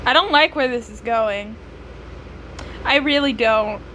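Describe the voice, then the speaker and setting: A woman's voice: fairly high pitch, a little slow, a bit bright, a little hiss or hum, rough recording. A woman giving casual gaming commentary as she plays, playful and wry, with slangy asides. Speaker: game streamer.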